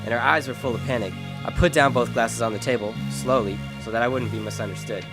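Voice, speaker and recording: A man's voice: mid-range voice, steady tone, fast, over music, close to an everyday voice. An actor playing a world-weary, wry private detective in an old-time radio drama, narrating and talking through his case. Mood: wry narration.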